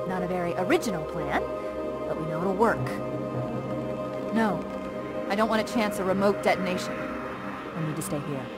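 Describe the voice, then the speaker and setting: An actress playing a resolute artificial intelligence in a video game, speaking in a brisk, articulate, determined way. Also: female voice, on the low side, lightly acted. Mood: urgent, resolved. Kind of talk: dramatic video game acting. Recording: loud game music behind the voice.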